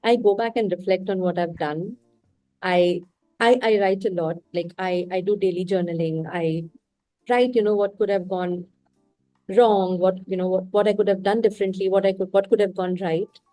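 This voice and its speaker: A woman's voice: medium pitch, steady tone, normal speed, warm tone, no echo, soft background music, low-quality recording. A woman from India with an Indian English accent, a podcast guest speaking candidly and reflectively. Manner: reflective and candid, talks through her thoughts. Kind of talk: casual interview conversation.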